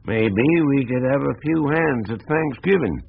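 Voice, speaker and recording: Gravelly older American businessman. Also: mid-range voice, lively intonation, moderate pace. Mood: convivial. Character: gruff older American small-town businessman, wary but game. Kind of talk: radio drama dialogue. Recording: muffled narrowband old radio broadcast.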